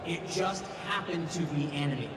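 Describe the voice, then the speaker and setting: A man's voice: on the high side, normal speed. A man talking emphatically as a convention panelist, repeating phrases for stress. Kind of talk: animated convention panel talk. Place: large convention hall panel. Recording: echoey hall, distant, noisy PA sound.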